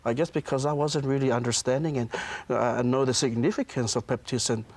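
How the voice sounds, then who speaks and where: A man's voice: medium pitch, steady tone, a little fast. A man, a pastor being interviewed in a studio, speaking hesitantly with many restarts. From Samoa. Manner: hesitant, many restarts and fillers, soft-spoken.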